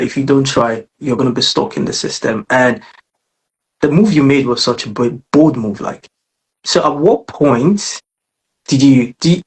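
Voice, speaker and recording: A male host with a husky, slightly breathy voice asks his guest questions in a relaxed, encouraging way. He pads his speech with fillers and often circles back to rephrase a question. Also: medium pitch, poor audio. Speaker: interview host. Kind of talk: casual interview conversation.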